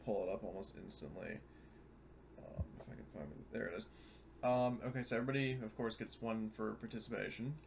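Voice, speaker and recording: A man's voice: fairly low pitch, fairly quick, vocal fry, noisy background. A man chatting casually at a tabletop role-playing game as one of the players.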